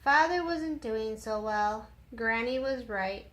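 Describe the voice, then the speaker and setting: A dutiful young shop apprentice from a storybook , read by a woman in a fairly high voice. He speaks slowly, plainly and earnestly, with a good-natured temper. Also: a bit bright. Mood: subdued.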